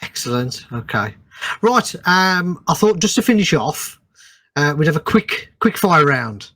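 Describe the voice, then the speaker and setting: A man's voice: fairly high pitch, very animated, unhurried, fairly bright tone. A man hosting a casual podcast interview in an agreeable, hesitant way. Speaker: podcast host.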